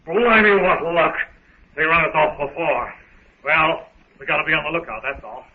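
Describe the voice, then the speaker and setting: An actor playing a rough, threatening mutineer sailor in a 1930s radio serial, blunt and slangy, with a gravelly voice. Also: male voice, on the high side, expressive, moderate pace. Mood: bullying. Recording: muffled 1930s radio recording.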